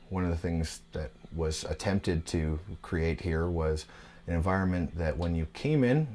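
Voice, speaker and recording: A man in his thirties, a tattoo shop owner, speaking in a documentary interview at his shop, laid-back and unhurried with frequent "uh" fillers. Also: low-pitched, dark tone.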